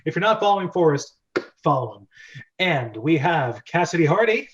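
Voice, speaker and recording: A man with a fairly high voice hosts a script reading show. He is chatty and jokey, and leans into theatrical delivery as he narrates. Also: very animated, normal speed, lo-fi sound. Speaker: host and narrator of a script read-through show.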